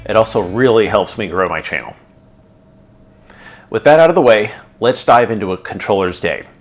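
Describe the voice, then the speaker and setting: A man with a somewhat low voice narrates a scripted explainer, clear and steady. He sounds middle-aged and speaks like an instructor walking a newcomer through a workplace routine. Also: normal speed, faint music behind. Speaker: channel host explaining air traffic control.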